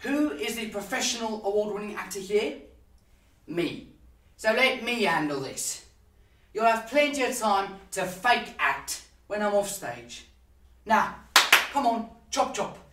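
Young man playing a pompous stage diva, loud, echoey. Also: high-pitched, animated, voice pushed up, heavily acted. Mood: imperious. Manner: imperious, drawn-out theatrical delivery. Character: pompous vain stage diva actor barking rules. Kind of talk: stage monologue. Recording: roomy, distant mic with noise.

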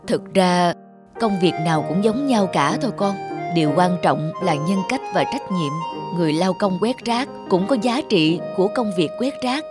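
A gentle cartoon mother, voiced by an actress, who speaks with humble, patient earnestness. She measures out her words like a kindly teacher. Music plays clearly behind her. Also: lowish voice, animated, normal speed, natural voice. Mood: gently admonishing. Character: gentle, humble mother, a famous woman novelist. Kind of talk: animated moral-tale dubbing.

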